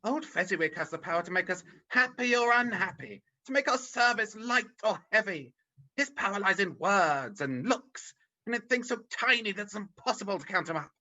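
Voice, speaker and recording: An actor playing a defensive, anguished old male miser in a radio-style drama read over a video call, halting and pleading. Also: medium pitch, lively intonation, pushed and bright. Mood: earnest. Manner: halting, pleading, swings in emphasis. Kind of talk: rehearsed radio-script drama reading. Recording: dull lo-fi video call audio.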